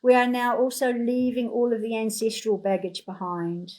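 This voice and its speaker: A woman leading a guided healing meditation in a soothing voice, speaking in repeated gentle affirmations. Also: fairly high pitch, level tone, normal speed.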